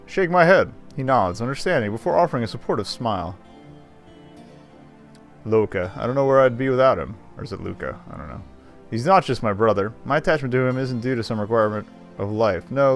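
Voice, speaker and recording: A man's voice: mid-range voice, expressive, fast talker. A man streaming a game, casually commenting and reading the on-screen text aloud. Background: faint game music.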